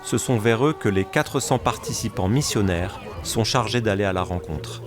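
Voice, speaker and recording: Low-voiced man narrating a news report. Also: expressive, moderate pace. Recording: loud music behind.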